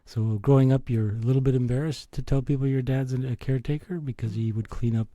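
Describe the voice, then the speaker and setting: A man's voice: medium pitch, steady tone, brisk, dark tone, dry recording. A middle-aged or older man with a Canadian accent, telling personal stories as an interview guest in a modest, reflective way. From born in Italy, raised in Toronto. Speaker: interview guest, finance executive.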